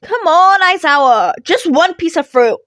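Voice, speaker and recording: A woman's voice: very high-pitched, animated, unhurried, no echo, clean studio sound, voice pushed up, exaggerated voice. A woman voicing a cheeky, playful teenage boy in a fan fiction reading, with an insistent, teasing manner. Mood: begging. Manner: bright, teasing, insistent, emphatic. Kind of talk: podfic character voice.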